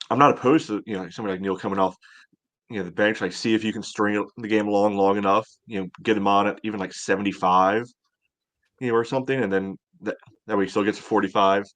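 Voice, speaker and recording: Man, low even monotone, rapid pace, casual tactics chat, rambling, filler-heavy. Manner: rambling, peppered with "you know". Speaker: podcast co-host. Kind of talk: casual soccer tactics talk.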